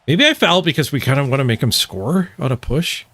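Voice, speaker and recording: Animated male game streamer thinking aloud. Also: mid-range voice, brisk, dry recording. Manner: thinks aloud, playful exclamations. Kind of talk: casual live gameplay commentary.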